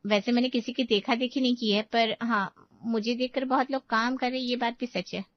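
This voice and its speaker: Woman playing young daughter-in-law, self-righteous, competitive, fairly high pitch, cartoon story, dry recording. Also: steady tone, moderate pace, no echo, highs cut off, lightly acted. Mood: smug, defiant.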